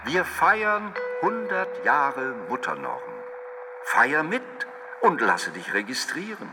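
An actor playing an emphatic propaganda announcer of a totalitarian future state, official and declamatory, in a radio play. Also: male voice, on the high side, big pitch swings, slow, over music. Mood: festive propaganda.

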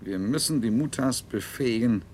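An actor playing a cold, calculating colony administrator with a dry, matter-of-fact delivery in a radio play. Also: male voice, mid-range voice, expressive, normal speed, darkish tone, slight background noise, lightly acted. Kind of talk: 1970s German radio play acting.

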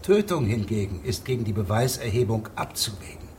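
An actor playing a shrewd federal judge in a radio drama, formal, measured and deadpan, with pedantic precision. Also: male voice, lowish voice, slight background noise.

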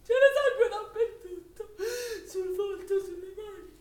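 A woman's voice: very high-pitched, a little slow, darkish tone. An actress playing an anguished, grief-stricken young widowed mother in a stage drama, crying out tearfully. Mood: anguished, weeping. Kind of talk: dramatic stage monologue in a documentary play. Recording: echoey, noisy, distant theatre-style recording.

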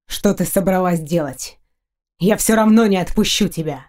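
A woman's voice: lowish voice, normal speed, a little mellow, natural voice. A woman voicing a stern young male knight in an audiobook, a tense and stubborn lover who speaks tersely and insistently. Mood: angry. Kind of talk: audiobook character voice.

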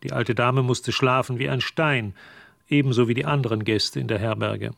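A man as the narrator of a radio drama, reading literary prose in a measured, matter-of-fact way. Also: mid-range voice, fairly even intonation, gravelly, lightly acted. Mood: sober, measured. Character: sober male storyteller narrating a mystery.

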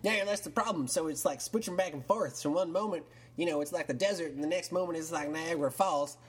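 A man's voice: a little high, fast talker, husky. A man voicing a dim-witted Texan country man who phones in to a comedy show, rambling and drawling with plenty of fillers and a put-on Texas accent. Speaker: caller. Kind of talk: improvised call-in sketch.

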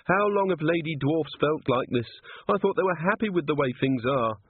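A man's voice: fairly high pitch, animated, normal speed, breathy edge, phone-quality sound, pitched above the performer's own voice. A man voicing a wry, reassuring policewoman in a fantasy storybook reading. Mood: wry sympathy.

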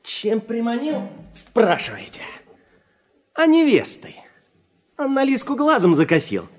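Cocky, high-voiced young village joker. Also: male voice, wildly swooping pitch, unhurried, full character voice. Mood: teasing. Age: 30s. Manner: teasing, boastful, folksy. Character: cocky joking thirty-year-old village combine driver with guitar. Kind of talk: Soviet radio drama acting.

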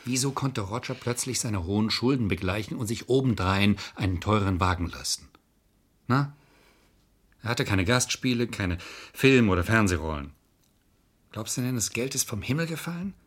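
Radio crime drama: cynical male defence lawyer, low husky voice, sardonic and argumentative. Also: lively intonation, moderate pace, a bit bright. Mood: scornful, pressing. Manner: sardonic, argumentative, matter-of-fact. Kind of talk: German radio crime play acting.

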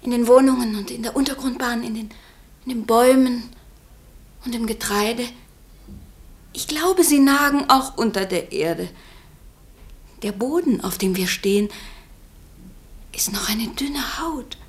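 An actress in a German radio play playing an anxious, haunted young wife who speaks in a hushed, fretful way. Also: female voice, fairly high pitch, a little slow, long pauses. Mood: haunted, brooding.

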